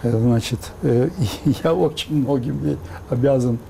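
An older man from Baku, raised speaking both Azerbaijani and Russian, thoughtfully recalling the past in an interview with many pauses and fillers. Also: mid-range voice, gravelly, dark tone, slight background noise. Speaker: interview guest. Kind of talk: reflective interview talk.

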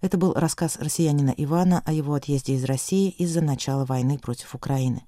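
A man reading a news digest as anchor, in an even, formal newsreader delivery. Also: a little high, fast, dry recording, clean studio sound.

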